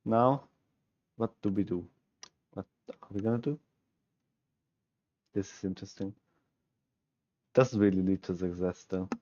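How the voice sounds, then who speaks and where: A man's voice: low-pitched, warm tone, dry recording, lo-fi sound. A young man from Switzerland with a Swiss German accent, a native German speaker, thinking aloud as he streams chess puzzles and chats with viewers through a headset at home. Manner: musing, halting, self-correcting, talks to himself. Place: small room at home, indoors.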